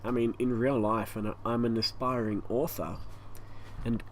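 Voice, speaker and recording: A man with an Australian accent and a croaky, hoarse voice, rambling through a casual channel update at home, stopping often for breath. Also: mid-range voice, fairly even intonation, a little slow. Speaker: YouTube gaming channel host. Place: hot home room with a fan running. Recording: faint fan noise behind.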